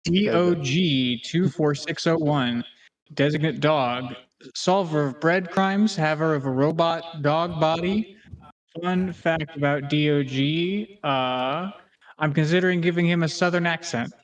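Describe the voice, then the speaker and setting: A man introducing his character in a quick, rambling, joking way among friends. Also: a little high, low-quality recording. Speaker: player. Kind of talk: casual chat.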